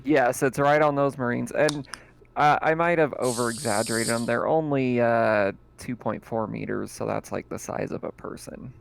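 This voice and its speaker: A man's voice: medium pitch, steady tone, vocal fry, noisy background. A man running a tabletop horror game as game master, narrating casually with frequent laughs and filler sounds. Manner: laughs often, fills pauses with "uh" and "um".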